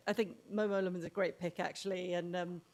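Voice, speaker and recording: A woman panelist answering in a measured, thoughtful way. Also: lowish voice, little pitch movement, a little mellow.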